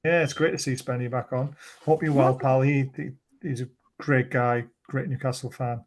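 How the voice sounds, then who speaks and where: A man's voice: medium pitch, fairly even intonation, normal speed. Jokey man hosting a fan stream. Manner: jokey, self-deprecating, laughs often. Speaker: livestream host. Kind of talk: casual livestream chat reading comments.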